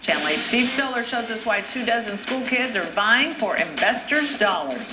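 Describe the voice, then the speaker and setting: Animated woman anchor in roomy, noisy audio. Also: fairly high pitch, moderate pace. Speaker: news anchor. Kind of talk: scripted news introduction. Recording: roomy sound, clear background noise.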